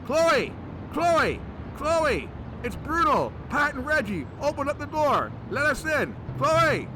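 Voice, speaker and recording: A high, excitable voice for a fussy male monster hunter played by a man in a comedy horror audio drama. He is testy and defensive about his germ phobia, and a shameless flirt besides. The recording has plainly audible background noise. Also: slow, exaggerated voice. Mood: loud, urgent.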